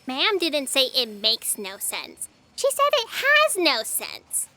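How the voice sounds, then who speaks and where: A woman's voice: sits high, wildly swooping pitch, takes it slowly, bright, forceful tone, heavily acted. A woman playing an earnest, idealistic female fact checker who questions everything in a scripted comedy audio drama. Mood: patient.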